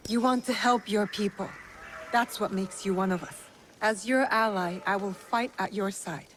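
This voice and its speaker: A woman playing a determined young female shinobi avenger in a video game, with a steady, resolute manner. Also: medium pitch, moderate pace, slight background noise, lightly acted. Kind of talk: video game cutscene acting.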